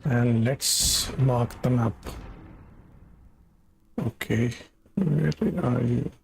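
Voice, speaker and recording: A man's voice: medium pitch, steady tone, drawn-out words, creaky, slight background noise. A man giving casual live gameplay commentary, thinking aloud in short murmured phrases into a desk microphone. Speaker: live streamer playing a video game. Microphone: USB desk microphone.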